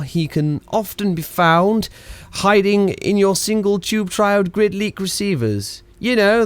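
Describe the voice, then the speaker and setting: A whimsical 1920s radio announcer, acted by a man, with a fairly high voice in a close, dry sound. He rambles theatrically through comic radio-play patter, hesitating and slipping cheeky asides to his listeners. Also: smooth, no echo, lightly acted. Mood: playful, teasing.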